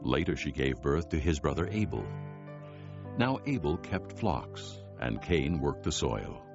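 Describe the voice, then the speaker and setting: A man narrating dramatized scripture as an audiobook, in a solemn, deliberate storytelling manner. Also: a bass voice, sing-song. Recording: dull band-limited recording. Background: loud music.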